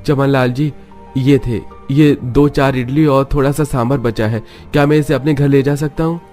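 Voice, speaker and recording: A man's voice: mid-range voice, steady tone, normal speed, a little mellow, soft background music, close to an everyday voice. A man playing an earnest, downtrodden young father and poor worker in a melodramatic cartoon story, with a pleading manner. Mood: meek. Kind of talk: melodramatic Hindi cartoon acting.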